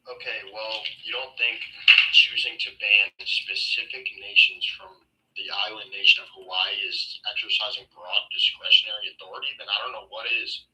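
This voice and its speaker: A man's voice: on the low side, fairly even intonation, normal speed, sharp tone, far from the mic, rough recording. A man in his twenties, a law student in an online debate, defensive and combative, mumbling his words. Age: 20s. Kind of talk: casual heated online debate.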